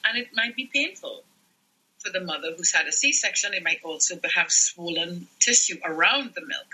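A man's voice: high voice, animated, moderate pace, sharp tone, breathy edge, poor audio. A middle-aged man from Trinidad and Tobago, a lactation specialist explaining earnestly as a podcast guest. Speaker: newborn care and lactation specialist.

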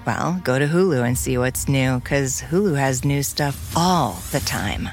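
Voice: medium pitch, animated, unhurried, faint music behind. A voice-over reading an advertisement in a chatty, promotional way.

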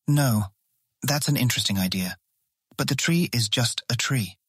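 A stern male guardsman of a fantasy audio drama, speaks in a medium-pitched voice. He is curt, commanding and quick to lose patience, recorded very dry. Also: fairly bright tone, husky, no echo. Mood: guarded.

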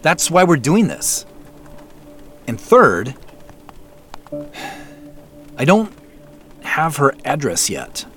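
Actor as an animated, earnest amateur sleuth, music behind. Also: male voice, on the high side, extremely slow, long pauses, full character voice. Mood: earnest, careful. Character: earnest, cautious amateur sleuth, an ordinary man. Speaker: lead character and narrator. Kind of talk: scripted audio drama, first-person narration and naturalistic phone dialogue. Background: background music.

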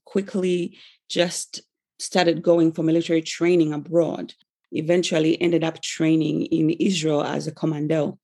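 A woman from Uganda tells her own story in a measured way, pausing on fillers. Her voice is gravelly and husky, and its pitch stays flat. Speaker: guest storyteller. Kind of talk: personal testimony.

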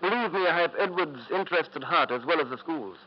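Higher-voiced formal headmaster. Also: male voice, lively intonation, fast. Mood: earnest, firm. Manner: hesitant, formal, earnest. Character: principled, uneasy school headmaster, formal and earnest. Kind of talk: 1950s radio drama acting. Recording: dull lo-fi old radio broadcast.

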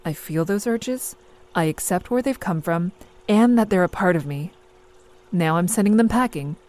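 A woman's voice: on the low side, lively intonation, moderate pace, darkish tone, close to an everyday voice. A woman playing a reflective, resolute moon base crew member in an audio drama monologue. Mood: introspective.

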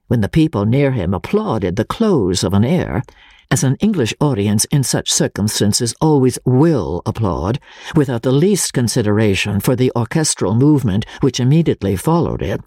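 A narrator giving a dramatic, theatrical reading of classic fiction in measured phrases. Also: medium pitch, a little mellow, breathy edge, dry recording, clean studio sound. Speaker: audiobook narrator. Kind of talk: dramatic scripted literary narration.

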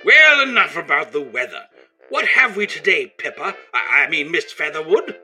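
An actor playing a pompous, fussy English gentleman radio host in an audio comedy of manners, with old-fashioned politeness and an English accent. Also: on the high side, sing-song, moderate pace, husky, faint music behind, exaggerated voice. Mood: chatty, gossiping.

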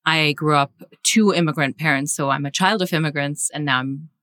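A woman's voice: deep voice, brisk, fairly bright tone, no echo, clean studio sound. A woman from Singapore, a guest author on a podcast interview, speaking with passionate emphasis and frequent restarts.

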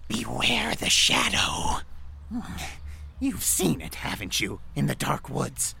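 An actor playing an eerie wild man in an audio drama, giving halting, ominous warnings in a creaky voice. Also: medium pitch, wildly swooping pitch, noisy background, full character voice. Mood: ominous, pleading. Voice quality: creaky, gravelly.

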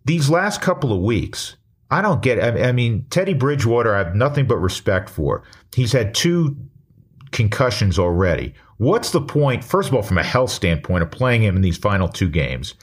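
An American man giving an opinion monologue as a sports radio host, measured and emphatic, often posing questions and answering them himself. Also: on the low side, sing-song, slightly rough.

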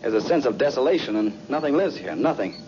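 An actor playing a lone cosmonaut losing his mind in terror, halting and stammering, in 1960s radio horror style. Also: mid-range voice, expressive, noisy background, lightly acted. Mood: desolate dread. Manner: halting, stammering, repeating himself. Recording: old muffled radio recording, music behind.

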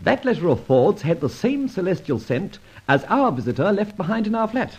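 A man acting a flippant, breezy gentleman adventurer in an old radio drama, bantering with polite little stammers. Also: high-pitched, very animated, moderate pace, slightly rough, exaggerated voice. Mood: delighted, teasing.

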